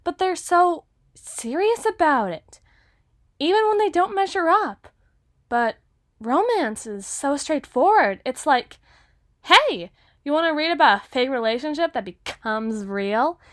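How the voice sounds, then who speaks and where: A woman's voice: high voice, sing-song, a little slow, no echo, studio quality. A woman playing a gushing, obsessively lovestruck teenage girl writer in an ASMR roleplay monologue, flustered and rambling. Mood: gushing, excited.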